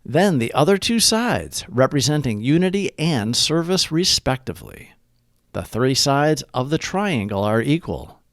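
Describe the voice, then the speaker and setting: A man reading a scripted reflection aloud in a measured, deliberate way, with pauses between phrases. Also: mid-range voice, sing-song, no room sound, studio quality. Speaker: narrator of a daily recovery reading. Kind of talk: scripted read-aloud narration.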